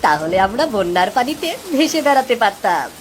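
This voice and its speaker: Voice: medium pitch, sing-song, a little fast, slight background noise, lightly acted. A performer voicing an eager little village boy, the younger brother, in a cartoon. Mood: dreamy.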